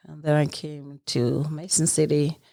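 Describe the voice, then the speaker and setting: A woman's voice: low-pitched, little pitch movement, measured pace, creaky, mellow, no echo, studio quality. A middle-aged woman from Jamaica with a Jamaican accent, telling her own story conversationally as a podcast guest.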